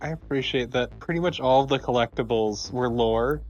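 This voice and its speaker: A man's voice: medium pitch, normal speed, darkish tone, highs cut off, faint music behind. A man doing casual, joking co-commentary on a video game, wry and sweary. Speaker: let's play co-commentator.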